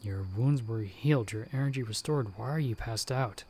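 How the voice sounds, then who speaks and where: A man playing a battle-hardened werewolf hunter in an ASMR audio roleplay, speaking directly to the listener in a measured, dryly understated way. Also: medium pitch, a little mellow, slight background noise, lightly acted. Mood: baffled. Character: battle-hardened male werewolf hunter with healing magic, calm mentor.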